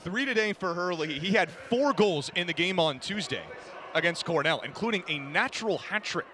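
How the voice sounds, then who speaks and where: A man giving an energetic, descriptive live radio play-by-play commentary of a lacrosse game. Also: high-pitched, normal speed. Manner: energetic, descriptive running call of the action. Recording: noisy live broadcast, game crowd behind. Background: crowd and ambient game noise.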